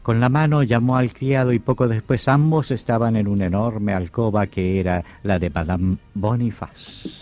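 A man with a fairly low voice narrates an old horror radio play. His delivery is solemn and theatrical. The recording is dull and vintage, with soft music underneath. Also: steady tone, moderate pace, hardly any pauses, no room sound.

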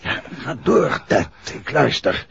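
A man with a Netherlands Dutch accent playing a calm, affectionate gentleman detective and husband in a 1960s radio drama. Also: mid-range voice, takes it slowly, gravelly, full character voice. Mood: reassuring, urgent. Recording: muffled, noisy 1960s radio recording.

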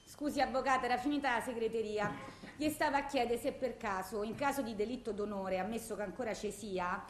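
An actress playing a bitter, distraught blind widow in a theatrical monologue, rambling plaintively in a colloquial Roman accent. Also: female voice, fairly high pitch, fairly quick, lightly acted. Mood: pleading, indignant. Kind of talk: theatrical phone-message monologue. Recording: echoey room.

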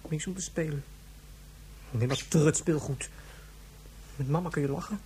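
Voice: mid-range voice, normal speed, darkish tone, slight background noise, close to an everyday voice. A performer playing a whiny, insistent and imaginative young boy in a radio drama, with a childlike voice. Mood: sulky, bitter. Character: petulant, imaginative young boy, upset about his mother.

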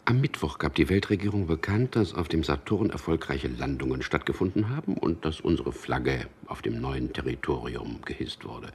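A man's voice: rumbling low, expressive, normal speed, hardly any pauses, slightly rough, exaggerated voice. An actor playing a cynical man in a radio drama, speaking with dry, intimate irony as he talks to his lover. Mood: teasing, wry. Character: Peter, wry cynical man telling mock news to lover. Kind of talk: German radio drama acting.

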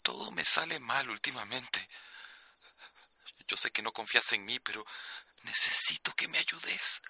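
Tense, pleading Costa Rican husband. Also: male voice, mid-range voice, animated, a little slow, creaky, sharp tone, breathy edge, full character voice. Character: pleading, self-pitying abusive husband claiming remorse. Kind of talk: radio soap opera acting.

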